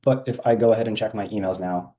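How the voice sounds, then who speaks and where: Low, mellow man giving a software demo. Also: fast. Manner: casual, many ums and uhs. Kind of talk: screen-share software walkthrough.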